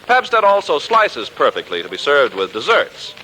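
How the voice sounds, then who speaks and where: An American man works as a 1940s radio announcer. His bright voice moves in lively, emphatic swings with polished scripted delivery, and there is clear noise on the recording. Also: fairly high pitch, moderate pace, poor audio.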